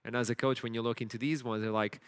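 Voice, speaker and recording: Energetic male esports desk host. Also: medium pitch, quick pace, clean tone. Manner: lively, repeats words when starting sentences. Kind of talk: live esports desk talk.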